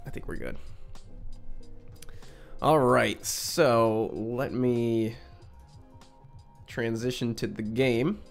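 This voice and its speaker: A young man from Western New York hosting a casual live stream, a little raspy and congested from a cold, chatty and reading out the chat. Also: mid-range voice, extremely slow, long pauses, faint music behind. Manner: chatty, reads out chat with friendly asides.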